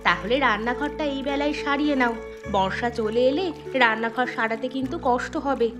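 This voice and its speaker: A woman's voice: a little high, fairly quick, music in the background, natural voice. A woman voicing a kind, sensible elder daughter-in-law in a cartoon village tale, patient and reasonable. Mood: reassuring. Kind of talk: Bengali cartoon fairy-tale dubbing.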